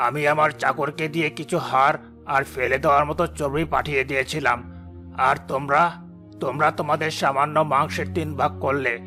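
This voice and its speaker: Man voicing a gravelly, remorseful rich man. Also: on the high side, pushed and bright. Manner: solemn, emphatic, confessional. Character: wealthy proud man, now humbled and remorseful. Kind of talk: cartoon moral drama dialogue.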